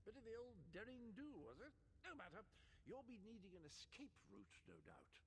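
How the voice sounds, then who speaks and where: An actor playing an eccentric, chipper, fussy man in a fantasy video game, with a husky voice and quaint, dithering manner. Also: high voice, big pitch swings, normal speed, a little hiss or hum. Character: eccentric, chipper, fussy old-fashioned male rescuer.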